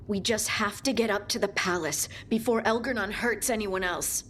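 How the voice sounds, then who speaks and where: A woman's voice: medium pitch, normal speed, a bit bright, a little hiss or hum, natural voice. A loyal woman fighter carrying a heavy burden, voiced by an actress. Her delivery is heartfelt and restrained, in a mid-range voice. It is game drama with faint ambience behind it. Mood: grateful resolve. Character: earnest, burdened young woman warrior, loyal and resolute. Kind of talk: dramatic video game acting.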